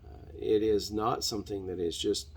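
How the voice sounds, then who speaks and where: A man hosting a podcast, giving an unscripted solo monologue in halting, deliberate, earnest phrasing. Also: on the low side, fairly even intonation, breathy edge. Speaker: podcast host.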